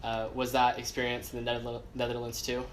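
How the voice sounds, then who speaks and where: A young man acts as a student interviewer, feeling his way through questions with lots of ums and uhs. His voice sits at an ordinary middle pitch, with faint ambient noise in the background. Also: completely flat delivery, fast talker, fairly bright tone, low-quality recording. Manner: hesitant questions with many fillers. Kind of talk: oral history interview.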